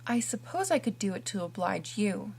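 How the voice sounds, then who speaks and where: A woman's voice: medium pitch, sing-song, moderate pace, creaky, a little mellow, slight background noise. A woman voicing an imaginative, dramatic orphan girl who talks earnestly and theatrically. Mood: thoughtful.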